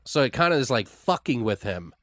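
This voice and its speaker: A man's voice: mid-range voice, big pitch swings, brisk, no room sound. A man co-hosting, casually brainstorming a made-up story in a loose, rambling, profane way. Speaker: podcast co-host.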